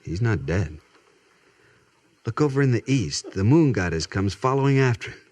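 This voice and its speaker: An earnest young cavalry officer in a 1950s radio drama. His low, husky voice moves widely in pitch, and he is sincere and well-spoken. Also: lively intonation, normal speed, a little mellow, dry recording. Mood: tender, wistful.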